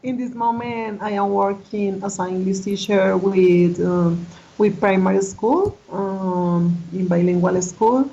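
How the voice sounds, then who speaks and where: Slow, hesitant woman learner, muffled audio. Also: medium pitch, steady tone, mellow. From Colombia. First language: Spanish. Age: 30s. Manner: hesitant, halting English with many fillers. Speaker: student. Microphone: video call.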